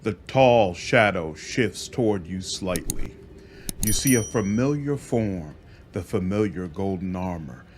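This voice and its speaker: Slow, dramatic male game narrator. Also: deep voice, animated, background noise. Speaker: gaming video narrator.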